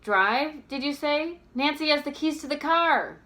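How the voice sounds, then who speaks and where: An indignant young storybook heroine, played by a woman reading aloud, pitched well above an everyday voice. She is brusque and impatient, and speaks in emphatic, commanding bursts. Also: animated, measured pace, pushed and bright, exaggerated voice. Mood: decisive, brusque.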